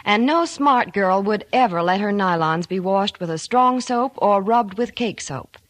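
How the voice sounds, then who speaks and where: A woman's voice: mid-range voice, animated, measured pace, no room sound. A woman performing a scripted sponsor dialogue on a 1940s radio program, chatty and enthusiastic. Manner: chatty and enthusiastic, laughs lightly.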